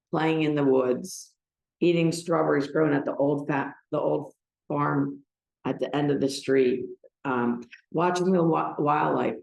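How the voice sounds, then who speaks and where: An older American woman giving a personal talk to a gathering, speaking in a halting, emotional way. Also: deep voice, creaky, a little mellow. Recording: roomy, echoing sound.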